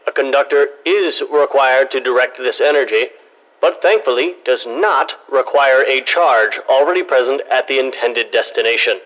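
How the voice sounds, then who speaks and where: A man plays a fussy, irritable research scientist dictating a game audio log. His delivery is formal and pompous with a sardonic edge, on a muffled lo-fi recording with a radio-like filter. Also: mid-range voice. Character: fussy, irritable male research scientist logging crystal findings.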